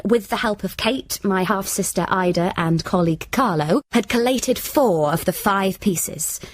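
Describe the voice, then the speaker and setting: A woman's voice: medium pitch, dry recording, lightly acted. Actress doing young british woman, bit down on herself, wry radio drama narrator, magazine journalist type. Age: young adult. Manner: wry, self-deprecating.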